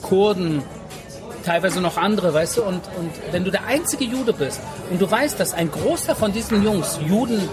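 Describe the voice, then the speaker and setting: A man's voice: high voice, expressive, normal speed. A man, telling his own story casually in slangy, emphatic speech, repeating phrases for stress. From Berlin, Germany. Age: 40s. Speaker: interview guest. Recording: music and crowd noise behind.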